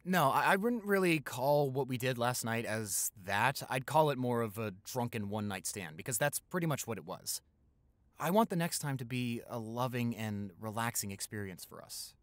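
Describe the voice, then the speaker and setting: A man's voice: mid-range voice, lively intonation, moderate pace, no echo, lightly acted. Young male boyfriend played by a man for roleplay audio: mid-range voice, playful, tender, conversational, very dry recording. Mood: earnest, gentle. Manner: conversational, hesitant, affectionate. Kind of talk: intimate boyfriend roleplay, improvised.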